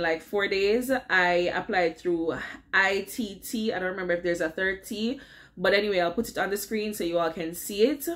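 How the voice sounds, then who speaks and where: A woman's voice: mid-range voice, fairly bright tone. A middle-aged woman with a Jamaican accent, chatting through a casual advice vlog from a home office and checking in with her viewers as she goes. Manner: chatty, keeps checking in with "all right?". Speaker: vlogger. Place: home office with window blinds.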